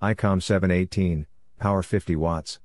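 A man's voice: sits low, fairly even intonation, moderate pace, a little mellow, no echo. A man reading out scripted radio exchange phrases in short, clipped, telegraphic bursts. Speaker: Morse code practice instructor.

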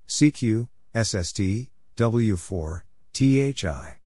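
A man reading scripted short exchanges as a practice narrator, deliberately spelling out letters and numbers one at a time. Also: fairly low pitch, lively intonation, warm tone. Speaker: narrator of Morse code practice.

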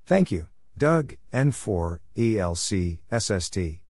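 A man reading out call signs and short radio exchanges for code practice in clipped, deliberate, separated phrases. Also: on the low side, big pitch swings, darkish tone, no echo, clean studio sound. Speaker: Morse code practice narrator.

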